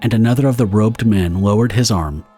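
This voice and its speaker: Low-voiced male story narrator over music. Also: brisk, dark tone, breathy edge. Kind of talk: scripted dramatic story narration.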